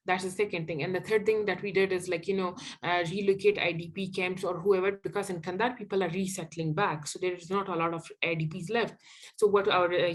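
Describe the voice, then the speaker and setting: Fast-talking woman with a Pakistani accent. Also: fairly low pitch. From Afghanistan. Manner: fast, with many fillers like "like, you know". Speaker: interview guest, activist. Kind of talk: casual conversational interview.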